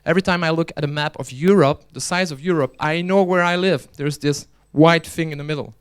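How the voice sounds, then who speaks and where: Clear-voiced man giving a joking conference talk. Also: fairly high pitch, a little fast. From Belgium. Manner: chatty, joking, restarts often. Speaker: conference speaker and organizer. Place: conference hall.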